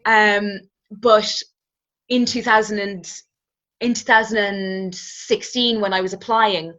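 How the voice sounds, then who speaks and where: A woman in her twenties with an Irish accent, a guest telling her story in a relaxed, reflective way and often hedging with "kind of". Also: mid-range voice, takes it slowly. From Ireland. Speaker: interview guest. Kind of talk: casual conversational storytelling. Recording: slightly dull, muffled audio.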